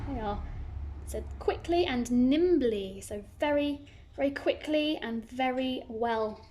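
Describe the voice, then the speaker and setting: A woman's voice: high-pitched, slow, dark tone, slight background noise. A woman reading a children's story aloud, narrating expressively and adding simple asides for young listeners.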